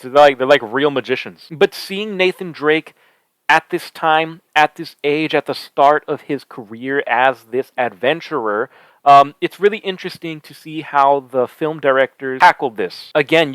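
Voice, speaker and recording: Higher-pitched man giving a casual review. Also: no echo, rough recording. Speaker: YouTube movie reviewer, channel host. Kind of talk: casual film review to the viewer.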